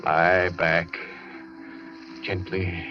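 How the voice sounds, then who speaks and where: An actor playing a deranged, obsessive doctor in 1940s radio thriller style, melodramatic and menacing, going from hushed muttering to frantic cries. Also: male voice, low-pitched, flat delivery, very slow. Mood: hushed, confused muttering. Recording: muffled old 1940s radio broadcast, music.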